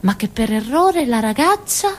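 A woman's voice: fairly high pitch, big pitch swings, moderate pace. An actress playing a naive, stubborn village simpleton girl in a theatrical monologue, with a Calabrian southern Italian accent and a childlike, colloquial, rambling way of talking. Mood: animated, wondering. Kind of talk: theatrical monologue, radio drama storytelling.

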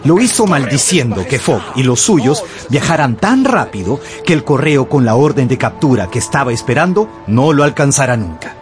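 A male narrator with a mid-pitched voice and lively, expressive intonation. He delivers scripted radio drama storytelling with clear articulation over prominent background music. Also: moderate pace, hardly any pauses. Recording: music behind the voice.

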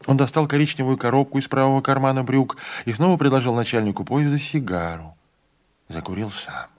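A man reading a classic novella as an audiobook narrator, measured and dramatic. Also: mid-range voice. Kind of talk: literary narration.

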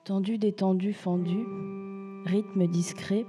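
A woman's voice: mid-range voice, fairly even intonation, takes it slowly. A young woman reading poetry as spoken word in fragments, lingering on single words. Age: young adult. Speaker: student writer reading collective texts. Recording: guitar music clearly behind voice. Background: plucked guitar.

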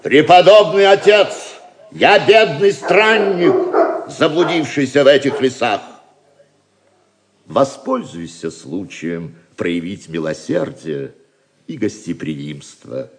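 Animated, insistent wanderer. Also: male voice, medium pitch, takes it slowly. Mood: pleading. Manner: declamatory, insistent. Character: pleading poor wanderer turning impatient and forceful. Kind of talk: audio drama acting.

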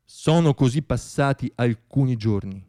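A man performing a scripted radio theatre monologue as storyteller, with dramatic, deliberate phrasing and pauses. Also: mid-range voice, animated, warm tone.

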